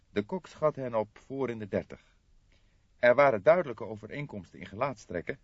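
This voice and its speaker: A man's voice: fairly low pitch, breathy edge, no echo, highs cut off. A man reading a detective novel as a dramatic audiobook narrator.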